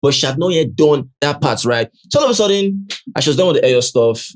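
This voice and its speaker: A man's voice: fairly high pitch, lively intonation, fast talker. A Nigerian man hosting a casual commentary show, chatty, ending nearly every phrase with "right?" and slipping into Pidgin and Yoruba. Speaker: host.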